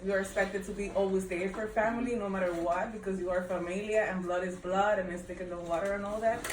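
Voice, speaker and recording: Dominican-accented woman, distant and echoey. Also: medium pitch. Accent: Dominican Spanish. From Dominican Republic. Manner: rambling, many fillers, laughs often. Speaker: book club member. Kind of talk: casual book discussion. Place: bookshop event room. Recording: echoey room, distant mic, background noise.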